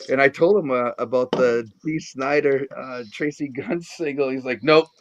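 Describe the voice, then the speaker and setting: A man's voice: mid-range voice, normal speed, dull audio. A middle-aged man from the Boston area with a Boston accent, a guest in a casual chat who rambles with many restarts and fillers. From Boston area, Massachusetts.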